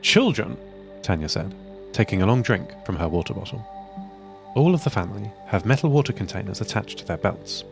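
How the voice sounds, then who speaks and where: A man narrating an audio drama in a calm, measured way. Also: on the low side, creaky, music in the background.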